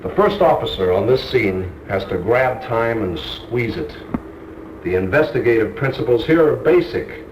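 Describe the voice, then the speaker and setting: A man's voice: mid-range voice, expressive, moderate pace. Blunt man on noisy old film. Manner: blunt, wry, clipped punchy phrasing. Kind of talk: instructional monologue. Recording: noisy 1970s training film soundtrack.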